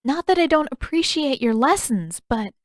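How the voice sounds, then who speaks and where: A woman's voice: sits high, animated, moderate pace, no echo, studio quality, pitched above the performer's own voice. A woman voicing a headstrong, curious teenage girl in an audiobook, earnest, candid and full of pressing questions. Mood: frustrated, headstrong. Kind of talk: audiobook character dialogue.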